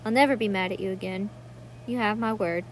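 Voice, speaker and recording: A woman's voice: mid-range voice, fairly quick, a little hiss or hum, close to an everyday voice. A woman playing an earnest, pleading young sister in a dramatic monologue reading. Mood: wistful, regretful.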